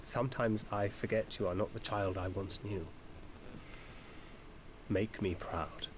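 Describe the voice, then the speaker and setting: Low-voiced, sombre male guardian actor played. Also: a little slow, lightly acted. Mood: wistful, tender. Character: protective, sombre male guardian, quietly fond. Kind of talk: scripted video game voice acting. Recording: clear background noise.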